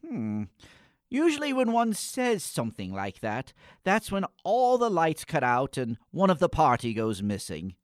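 A man playing a gloomy, pompous and exasperated schoolboy with a wordy, deliberate delivery in a comic radio play. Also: on the high side, wildly swooping pitch, no echo, studio quality. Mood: ominous, deliberate.

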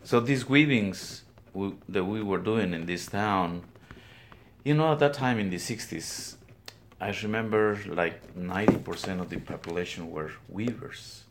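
An older man with a Guatemalan Spanish accent speaks in a low voice at an unhurried pace. He is plain-spoken and reflective, pausing as he goes, as a guest in an interview. Some room noise sits behind him in the studio. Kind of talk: interview, recounting his life. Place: weaving studio, indoors.

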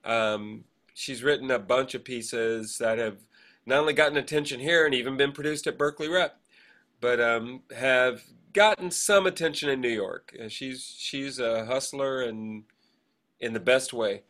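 A man co-hosting a podcast, chatting casually and rambling through shout-outs with hesitant, digressive asides. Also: mid-range voice, normal speed. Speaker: podcast co-host.

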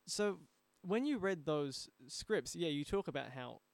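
A man's voice: fairly high pitch, lively intonation, measured pace, creaky, smooth. A man hosting a podcast interview in a conversational style. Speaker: podcast host and audio drama adapter.

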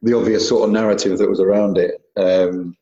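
Low-voiced chatty British man, echoey line. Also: level tone, brisk, mellow, highs cut off. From Britain. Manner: chatty, rambling, many fillers and restarts. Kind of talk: casual chat.

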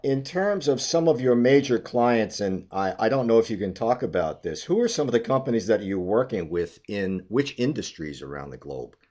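A man's voice: fairly low pitch, big pitch swings, normal speed, hardly any pauses, a little mellow. A man asking structured questions as the interviewer in a business interview.

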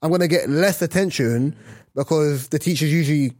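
Husky man, emphatic podcast talk. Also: on the high side, fairly quick, no room sound, studio quality. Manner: animated, emphatic, jokey. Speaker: podcast co-host. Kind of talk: casual podcast chat.

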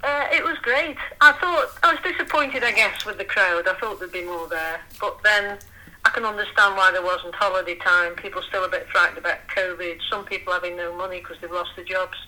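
A woman, talking in lively, articulate casual chat as co-host of a fan podcast, with dry humour. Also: lowish voice, brisk, rough recording.

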